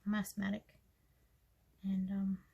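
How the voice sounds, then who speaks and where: Australian woman, hoarse and croaky today, slow soft-spoken planner chat with quiet asides. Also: medium pitch, monotone. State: scratchy, croaky voice. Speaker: planner vlogger. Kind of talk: casual planner walkthrough.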